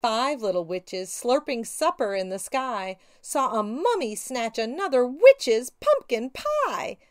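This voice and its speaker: Female children's host, middle-aged: high voice, unhurried pace, sing-song storytime delivery. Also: reverberant. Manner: animated, sing-song, talks directly to young children. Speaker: children's read-aloud show host. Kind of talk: children's storytime presenting and picture-book reading.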